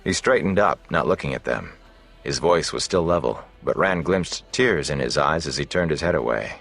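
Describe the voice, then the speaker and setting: A man narrating a fantasy novel as an audiobook in a measured, dramatic way. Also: a bass voice, lively intonation, vocal fry, a bit bright. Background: faint music.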